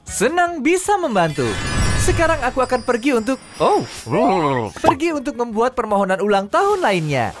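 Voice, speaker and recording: An actor voicing a cheerful, eager young talking train engine in a children's cartoon dub, playful and expressive. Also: mid-range voice, normal speed, close to an everyday voice. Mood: friendly, happy. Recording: background music under the voice.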